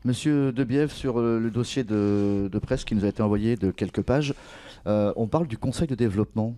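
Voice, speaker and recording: A man works as an interviewer on a broadcast. His voice sits fairly low and has a soft, mellow tone. He talks in an informal, conversational way as he puts questions to his guests. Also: fairly quick. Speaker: interviewer, show host.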